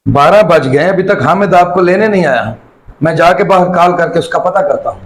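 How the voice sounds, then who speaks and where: Guy doing mean grown-up son in a moral drama, high voice, curt and fed up. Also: animated, background noise. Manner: curt, unfeeling, scolding. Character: callous, irritable grown son begrudging his old father. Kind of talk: Urdu moral audio drama acting.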